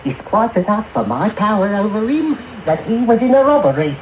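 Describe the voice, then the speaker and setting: An actor playing a sly, scheming old master of thieves in a radio drama, with an oily, wheedling, calculating manner. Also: male voice, high-pitched, sing-song, normal speed. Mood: scheming, gloating. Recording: noisy medium-wave radio broadcast tape.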